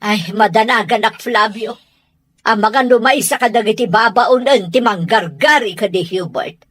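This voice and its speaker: Haughty, husky middle-aged mother played by a woman. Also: fairly high pitch, normal speed, no echo, natural voice. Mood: worried, indignant. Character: haughty, anxious wealthy mother who scorns servants. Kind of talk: Ilocano radio drama acting.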